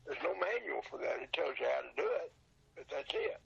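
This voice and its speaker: An older man, past sixty, with a gravelly, husky voice, talking casually and plainly as an interview guest, explaining things informally. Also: medium pitch, big pitch swings, fairly quick, fairly bright tone. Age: 60s or older. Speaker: interview guest, old radio show collector and seller. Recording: dull lo-fi sound.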